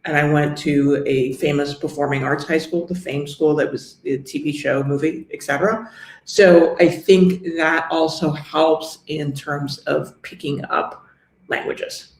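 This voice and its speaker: Middle-aged New York woman, gravelly and fairly high, understated and explanatory; interview guest in an echoey room. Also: fairly even intonation, a little slow. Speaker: guest, luxury real estate agent. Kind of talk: interview conversation.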